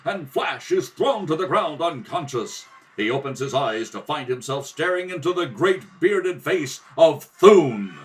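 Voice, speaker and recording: A man narrating a scripted radio serial in a dramatic style, recorded at home. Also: high-pitched. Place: home kitchen table. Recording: distant home rehearsal recording.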